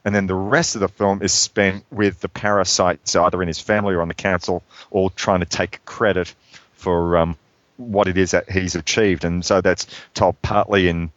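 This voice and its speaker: A man's voice: deep voice, little pitch movement, normal speed, dry recording, highs cut off. A man chatting casually about films as a podcast co-host, rambling with many fillers and restarts. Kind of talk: casual film chat.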